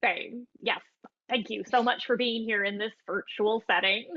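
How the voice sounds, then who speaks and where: A woman's voice: mid-range voice, steady tone, moderate pace, sharp tone. A woman playing an earnest, businesslike casting director in an online play, courteous and explanatory. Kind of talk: naturalistic dialogue in an online one-act play. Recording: slightly muffled video-call audio.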